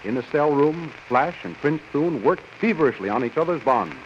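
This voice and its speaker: A dramatic radio serial narrator voiced by a man, fairly high and very animated. The vintage recording is muffled, with a faint hiss. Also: moderate pace, lightly acted.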